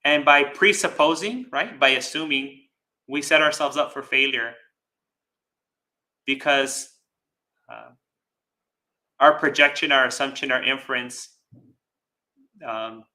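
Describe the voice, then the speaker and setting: A man with a very bright, forceful voice at a middle pitch gives a Buddhist dharma talk. He speaks deliberately, pausing and repeating phrases for emphasis, and often checks in with a short rhetorical question. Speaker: Buddhist monk and teacher.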